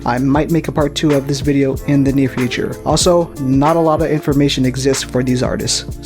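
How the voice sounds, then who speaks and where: A man's voice: mid-range voice, darkish tone. A man from Hawaii narrating a scripted music review with a wry, dry sense of humor. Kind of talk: scripted video-essay narration.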